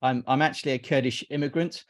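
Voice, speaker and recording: Hesitant man in his fifties, flat delivery. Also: mid-range voice, fast talker. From Iraq. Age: 50s. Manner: hesitant, repeats words and restarts often. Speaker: guest. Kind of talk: casual interview chat.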